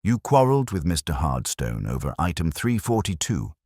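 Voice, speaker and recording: A man voicing a patient, shrewd police inspector, speaking in a measured, quietly probing way in a detective story. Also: deep voice, big pitch swings, no room sound, studio quality, heavily acted. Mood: accusing.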